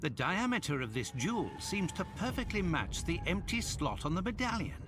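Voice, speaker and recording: Courteous uneasy sidekick, lighter-pitched male voice, lively swings, formal phrasing, game cutscene. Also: normal speed, music in the background. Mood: polite curiosity. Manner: genteel, expressive, earnest. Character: polite, uneasy gentleman sidekick to a detective. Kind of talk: video game cutscene voice acting.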